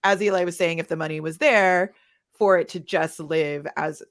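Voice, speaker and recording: A woman's voice: lowish voice, steady tone, moderate pace. A woman talking casually as a panelist, giving advice in an informal way. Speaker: panelist, audio editor.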